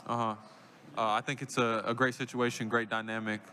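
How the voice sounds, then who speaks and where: A young man answering reporters at a press conference, calm, with many "uh" and "you know" fillers. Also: mid-range voice, a little fast. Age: young adult. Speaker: draft pick at press conference.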